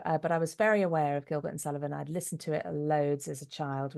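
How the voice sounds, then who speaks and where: A woman's voice: deep voice, a little mellow. A middle-aged woman giving articulate, reflective answers in a conversational interview. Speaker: interview guest, actress, writer and singer.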